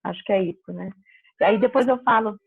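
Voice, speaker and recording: A middle-aged woman talks briskly on a video call and ticks off her points one by one. Her voice is husky. The line is tinny and phone-like, with a dry, close sound. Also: mid-range voice, no echo. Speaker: founder of an entrepreneurs network. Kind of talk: panel discussion.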